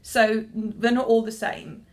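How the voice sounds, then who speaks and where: A woman's voice: on the high side, flat delivery, takes it slowly. A young woman, a librarian talking casually to camera in a chatty, self-deprecating way with frequent restarts.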